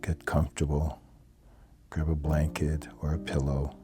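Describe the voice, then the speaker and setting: A man leading a guided yoga nidra relaxation in a calm, soothing, measured manner. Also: rumbling low. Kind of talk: guided meditation. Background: soft plucked guitar music.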